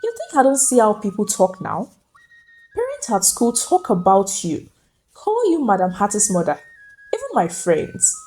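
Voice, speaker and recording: A woman's voice: mid-range voice, wildly swooping pitch, moderate pace, mellow, soft background music. A woman voicing a proud, jealous, wealthy madam who sneers and snaps at her employee in a dramatic folktale. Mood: sneering, suspicious. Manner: sneering, snapping.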